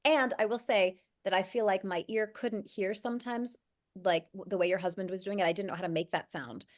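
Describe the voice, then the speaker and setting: A woman's voice: mid-range voice, steady tone, brisk. A woman audiobook narrator speaking effusively in a casual video-call chat. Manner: enthusiastic, effusive.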